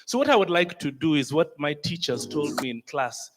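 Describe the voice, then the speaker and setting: A man with a Ugandan accent, emceeing a conference from a stage mic in an energetic, crowd-rousing way. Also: on the high side, normal speed, slight background noise. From northern Uganda. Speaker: conference master of ceremonies. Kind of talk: lively emcee hosting a conference. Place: large conference hall.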